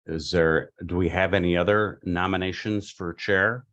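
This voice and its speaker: A man chairing a local government meeting in a halting, informal, polite way. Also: low-pitched, steady tone, fairly bright tone, lo-fi sound. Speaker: commission chair.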